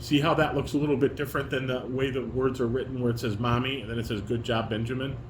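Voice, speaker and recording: A man's voice: mid-range voice, steady tone, quick pace. A man talking to a child as a father, giving instructions and asking questions in a firm, correcting manner. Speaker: father leading a family table read. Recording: echoey room, background noise.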